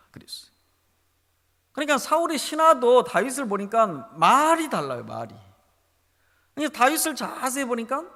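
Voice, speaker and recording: A Korean pastor with a Jeolla accent preaches an emphatic, storytelling sermon. His high voice swings up and down. A long church reverb trails behind him. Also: creaky, bright, forceful tone. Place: church sanctuary.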